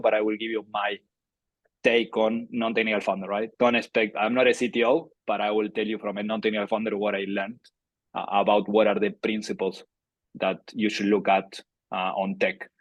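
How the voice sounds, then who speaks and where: Low, flat-voiced Argentine man presenting. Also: brisk, no room sound, highs cut off. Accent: Argentine Spanish. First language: Spanish. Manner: conversational, many fillers and restarts. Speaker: startup founder presenting a webinar. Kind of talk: webinar presentation.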